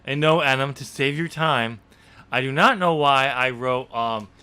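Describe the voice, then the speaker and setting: A man with a bright, forceful voice talks through his own novel draft with a friend. He is casual and self-mocking, laughs a lot, and trips over his words as he goes. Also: medium pitch, unhurried, clear, resonant tone. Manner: self-deprecating, laughs often, stumbles and restarts. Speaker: podcast host and author of the novel. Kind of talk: casual podcast chat.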